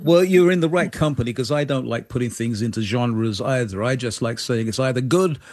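A man's voice: mid-range voice, fairly quick, a little mellow, no echo, clean studio sound. A man hosting a radio interview, talking in a rambling, hesitant way with repeated words. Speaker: radio host.

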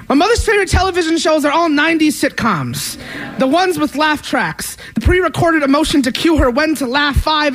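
Young male spoken word poet, high voice, rhythmic and emphatic delivery. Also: fairly bright tone, poor audio. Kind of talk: spoken word poetry performance.

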